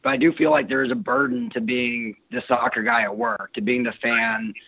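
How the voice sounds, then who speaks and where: A man calls in to a sports radio show while losing his voice, halting and leaning on fillers. His middle-pitched voice comes through dull and dry over the phone. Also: fairly even intonation, gravelly, dry recording. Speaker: caller. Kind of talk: call-in radio talk.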